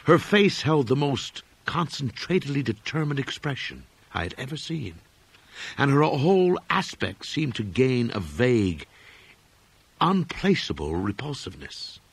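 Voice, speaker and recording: A man narrating a literary horror story in a measured, formal storytelling manner. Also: mid-range voice, very animated, breathy edge, no echo. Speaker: audiobook narrator.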